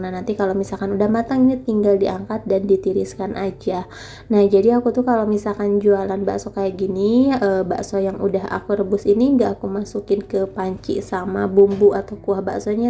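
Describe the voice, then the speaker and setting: A woman's voice: medium pitch, dark tone, a little hiss or hum. Chatty young woman with a stuffy nose. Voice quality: nasal. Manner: chatty, addresses viewers often as friends. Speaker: vlogger selling meatballs from home. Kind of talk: casual voice-over vlog. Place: home, recorded at night after the day's filming. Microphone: voice-over dubbed separately.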